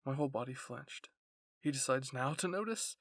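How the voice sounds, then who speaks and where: A man's voice: medium pitch, animated, normal speed, no echo. A man narrating a scripted first-person fan fiction story as an ASMR roleplay.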